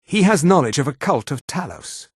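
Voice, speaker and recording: An actor playing an arrogant, imperious male high elf in a fantasy video game, with a condescending, clipped manner. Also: sing-song, normal speed, breathy edge, no echo, clean studio sound. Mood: arrogant, menacing.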